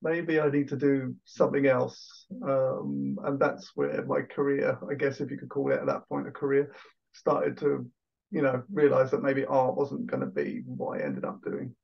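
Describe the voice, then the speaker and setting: A man's voice: mid-range voice, normal speed, vocal fry, husky, highs cut off. An Englishman talking casually as an interview guest on a video call, chatty and self-deprecating with lots of fillers. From England.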